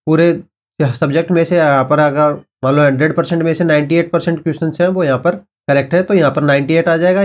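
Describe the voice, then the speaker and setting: A man, explaining exam cutoffs in a rapid lesson full of repeated filler phrases. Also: a little high, darkish tone, lo-fi sound. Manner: rapid, repetitive filler-heavy delivery. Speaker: exam coaching presenter.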